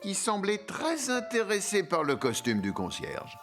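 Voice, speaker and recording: A man narrating a children's cartoon in a playful, expressive storytelling manner. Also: high-pitched, a little slow, over music, full character voice. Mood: playful, curious.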